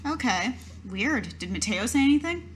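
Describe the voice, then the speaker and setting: A woman's voice: on the high side, animated, moderate pace, slight background noise. A woman playing a tabletop game, improvising in character in a casual, somewhat hesitant, questioning way.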